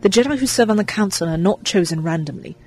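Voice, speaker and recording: An actress playing an earnest, dutiful young Jedi in video game dialogue, speaking in a measured, formal way. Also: female voice, mid-range voice, animated, darkish tone, slightly rough, soft background music. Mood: earnest, cautioning.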